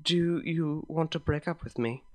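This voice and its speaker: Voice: mid-range voice, unhurried, soft background music, lightly acted. A reader plays an anxious female pony in a dramatic story reading. She is heartfelt and earnest, putting emotion into carefully formal wording. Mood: anxious, ashamed. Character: Octavia, anxious, heartfelt, well-spoken adult female pony. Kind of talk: dramatic fan fiction reading.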